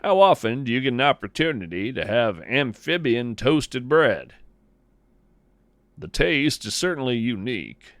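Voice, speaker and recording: Unhurried American man, dry close narration. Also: mid-range voice, big pitch swings, no room sound. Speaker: podcast host and narrator. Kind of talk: scripted whimsical first-person narration.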